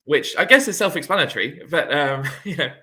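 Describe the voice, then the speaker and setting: A man's voice: fairly high pitch, animated, brisk, bright, forceful tone. A British man in a casual conversation, explaining things in a rambling, chatty way. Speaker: guest in a friendly conversation.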